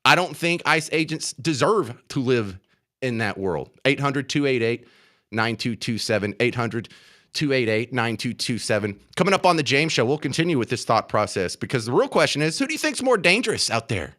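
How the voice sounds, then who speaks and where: A man's voice: medium pitch, sing-song, normal speed, pushed and bright. A man with an American accent delivering an opinionated political radio monologue, emphatic and indignant, repeating phrases to drive them home. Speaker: radio talk show host. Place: radio studio.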